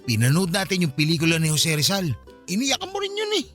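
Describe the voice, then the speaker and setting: A teasing young man in a radio drama. He talks fast with lively, swinging pitch in casual banter. Soft music sits behind him. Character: teasing young man, close childhood friend.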